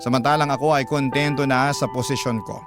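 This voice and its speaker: Man acting as devoted young man, radio drama narrator, sincere, reflective, medium pitch, loud plucked-guitar backing. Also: fairly even intonation, brisk, natural voice. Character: earnest, devoted young working man recounting his love story. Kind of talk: scripted first-person radio drama narration.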